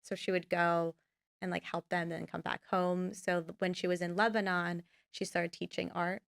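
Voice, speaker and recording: A woman's voice: on the low side, flat delivery, moderate pace, vocal fry, a bit bright, dry recording, clean studio sound. A young woman, a filmmaker guest, talking casually in a podcast interview and rambling with many fillers. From United States. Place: podcast studio.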